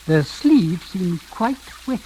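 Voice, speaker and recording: Casting: blackmailing servant, deferential and precise with a swooping melody, vintage radio drama, slightly noisy recording. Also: male voice, high voice, big pitch swings, dark tone, full character voice. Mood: slyly insinuating. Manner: formal, obsequious, measured hesitations. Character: deferential, sly blackmailing manservant, coolly polite. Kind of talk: 1940s radio acting.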